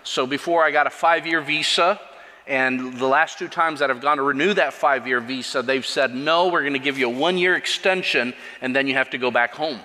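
Bright-voiced missionary man in a hall. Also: medium pitch, brisk. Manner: earnest, plainspoken, with restarts. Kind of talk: missionary report in a church service. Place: church sanctuary. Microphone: microphone over a church sound system. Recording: long church hall reverb, faint music.

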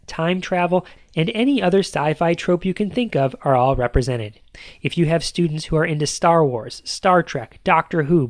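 A man's voice: a little high, no echo, studio quality. A man hosting a podcast, talking through a scripted monologue in a conversational way, with playful emphasis on lists. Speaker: podcast host.

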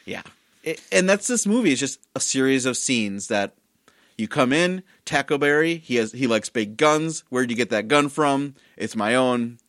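A man's voice: mid-range voice, animated, moderate pace, a bit bright, no echo, clean studio sound. A man co-hosting a casual movie review podcast, a bit tipsy, rambling and stumbling over his words as he jokes. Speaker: podcast co-host.

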